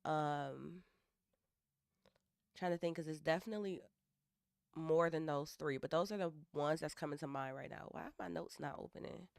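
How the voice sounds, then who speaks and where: Young woman, low and hoarse, rambling live-stream chat, dry close sound. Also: normal speed, long pauses, dry recording. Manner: rambling, self-interrupting, thinking aloud. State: hoarse from allergies. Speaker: livestreaming developer.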